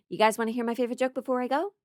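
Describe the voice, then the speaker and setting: A woman's voice: on the high side, a little mellow, dry recording. American woman, dry close sound, playful storyteller. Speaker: stand-up comedy performer. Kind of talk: casual comedic storytelling monologue.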